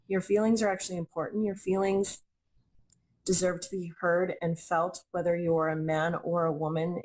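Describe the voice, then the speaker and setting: A woman's voice: fairly low pitch, darkish tone, poor audio. A young adult woman speaking as a guest in an interview, earnest and reflective, often repeating phrases for emphasis. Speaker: intuitive reader and healer, guest. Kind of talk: conversational interview.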